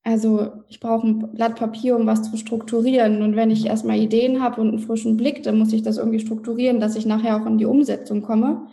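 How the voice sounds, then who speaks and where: Gravelly-voiced woman in her thirties. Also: a little high, little pitch movement, a little fast, hardly any pauses, mellow. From Berlin, Germany. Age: 30s. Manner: chatty, explains at length with fillers. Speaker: podcast guest, co-founder. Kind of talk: casual interview talk.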